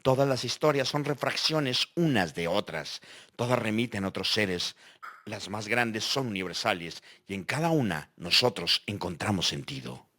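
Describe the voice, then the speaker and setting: A man plays a philosophical thinker with a lowish voice. He speaks reflectively in aphorisms, as one voice in a scripted audio dialogue. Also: expressive, normal speed, pushed and bright.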